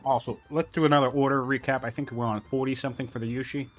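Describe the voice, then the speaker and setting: A man's voice: medium pitch, fairly even intonation, fast, faint music behind. A man casting a strategy game tournament, working through numbers aloud with frequent "um" and "you know", still a little sniffly after a cold. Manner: analytical, thinking aloud with fillers. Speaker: tournament caster.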